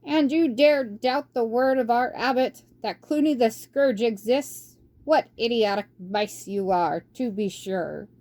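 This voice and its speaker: A woman voicing an ancient, fussy and forgetful male mouse scholar who squeaks barely above a whisper and mutters to himself. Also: animated, a little slow, mellow. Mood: scolding. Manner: doddering, muttering hmm and tutting. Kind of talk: audiobook character voice.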